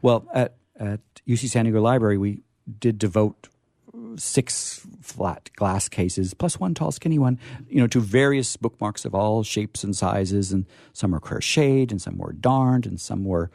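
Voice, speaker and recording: A low-voiced man hosts an informal talk before an audience. His tone is soft and mellow, picked up dry and close. He hesitates, repeats phrases for emphasis and slips into playful formality. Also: unhurried, vocal fry, no room sound. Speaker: library staffer hosting a discussion.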